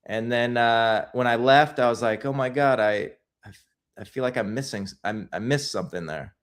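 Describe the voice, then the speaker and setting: A man's voice: mid-range voice, normal speed. A man being interviewed as a guest filmmaker, telling his stories in a casual, rambling way with lots of restarts.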